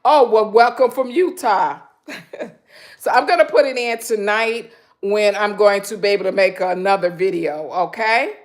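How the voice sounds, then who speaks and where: A woman from Michigan with a naturally loud voice hosts a relaxed live stream from her home kitchen. She rambles in a chatty way, filling pauses with ums and addressing viewers in the chat directly. The sound comes through a phone camera. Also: mid-range voice. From Michigan, USA.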